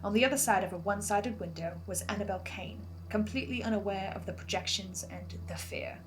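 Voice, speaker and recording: A woman's voice: medium pitch, brisk, low-quality recording. A woman narrating a scripted analysis as host, speaking earnestly and theatrically with precise wording. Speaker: host of a fan theory video series.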